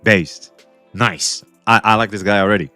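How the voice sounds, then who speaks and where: A young man hosting a casual reaction stream, talking back to a video with excited, repetitive commentary. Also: lowish voice, moderate pace, no echo, faint music behind. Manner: excitable, talks back to the video, repeats himself.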